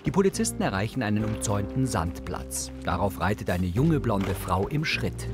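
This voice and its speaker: A man's voice: lowish voice, sing-song, normal speed, over loud music. A man reading scripted audio description for a television drama.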